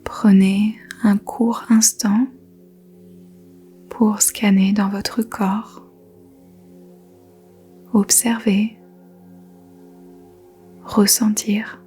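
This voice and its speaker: Slow, whispery woman guiding a meditation. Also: mid-range voice, level tone, faint music behind. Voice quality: soft whispery voice. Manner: slow, gentle, soothing instructions with long pauses. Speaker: yoga nidra teacher. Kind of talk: guided meditation.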